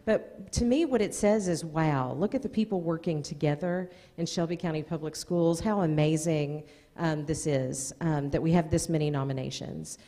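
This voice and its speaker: Middle-aged woman, American accent, soft-edged warm tone, podium announcer at a public meeting, long room reverb. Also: low-pitched, normal speed, few pauses. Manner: reads out names, with informal asides. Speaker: school district presenter. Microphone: gooseneck podium microphone.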